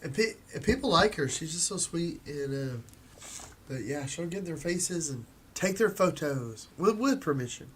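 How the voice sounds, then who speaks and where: A man's voice: on the high side, lively intonation, normal speed, slightly rough. A man with a stuffed-up nose co-hosting a livestream, talking casually with many restarts and easy laughs. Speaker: co-host of a livestream. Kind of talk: casual chat.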